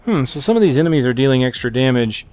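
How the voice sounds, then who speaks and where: A man's voice: mid-range voice, expressive, fast talker, a little mellow, dull audio. A man giving casual gameplay commentary, thinking aloud as he plays. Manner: thinks aloud while playing. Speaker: gameplay video host. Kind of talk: casual video game commentary. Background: game music behind the voice.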